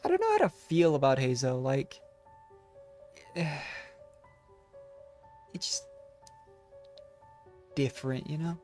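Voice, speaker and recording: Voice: mid-range voice, huge pitch swings, takes it slowly, long pauses, mellow. A game streamer giving casual playthrough commentary, musing and a little hesitant while thinking aloud. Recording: game music behind the voice.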